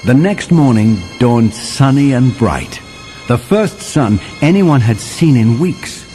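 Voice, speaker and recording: A man's voice: medium pitch, animated, slow pace, warm tone, soft background music. A man playing a settler who recounts a winter adventure in vivid, dramatic children's story narration. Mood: wondering.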